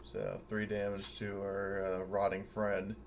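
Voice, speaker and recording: Male gamer, on the lower side, informal table talk over slight noise. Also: monotone, measured pace, gravelly. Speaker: tabletop game player. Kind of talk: casual table chat.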